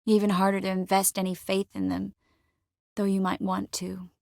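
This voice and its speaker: An actress playing a young sorceress in a video game, warm and clever, with a wry, quietly determined manner. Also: female voice, mid-range voice, monotone, normal speed, creaky, no echo, clean studio sound, natural voice. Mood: measured. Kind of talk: video game character dialogue.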